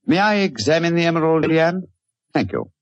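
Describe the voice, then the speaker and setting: An actor playing a proud, incisive English gentleman detective in 1940s radio style, clipped and formal, on a vintage recording. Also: fairly high pitch, lively intonation, fast, no room sound, dull audio. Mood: confident.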